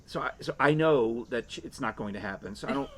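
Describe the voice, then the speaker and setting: A man's voice: mid-range voice, lively intonation, fast talker. An older man chatting casually and wryly as a podcast co-host on an outdoor porch. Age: 50s or 60s. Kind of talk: casual chat. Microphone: shared desktop microphone on table. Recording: clear ambient noise behind the voice.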